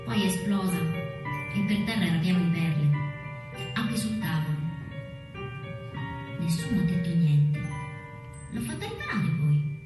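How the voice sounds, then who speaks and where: A woman's voice: lowish voice, big pitch swings. Echoey wistful young woman. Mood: reflective. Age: young adult. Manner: storytelling with deliberate repetitions. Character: wistful, dreamy young woman recalling childhood dreams. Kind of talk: dramatic monologue in a radio play. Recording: echoey, distant recording with music behind. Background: music.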